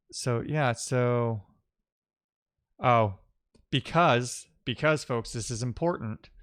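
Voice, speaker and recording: A man streaming live coding, talking casually and haltingly as he thinks aloud and mutters to himself while he works. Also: medium pitch, a bit bright, clean tone.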